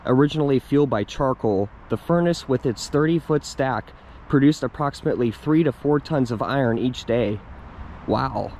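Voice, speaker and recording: A man's voice: mid-range voice, normal speed, slight background noise. Man narrating a cold outdoor hike. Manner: relaxed, admiring, reads plaque aloud. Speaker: hiking vlogger. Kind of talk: casual hiking vlog narration. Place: outdoors in a wooded park.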